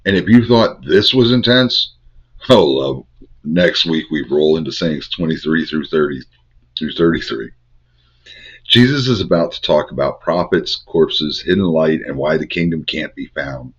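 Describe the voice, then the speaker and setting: Older man, congested with a cold, very low voice, rhythmic spiritual teaching, muffled recording. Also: slightly rough. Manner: measured, incantatory delivery in short cadenced phrases. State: stuffed up with a head cold. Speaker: Bible study host. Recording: dull lo-fi recording.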